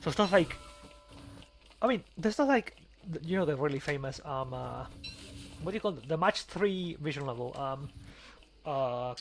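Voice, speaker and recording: Male podcast host, slightly high voice, casual and rambling, stumbles over words, clear background noise. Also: animated, moderate pace, pauses often. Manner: rambling, stammering, repeats words. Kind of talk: casual chat over gameplay.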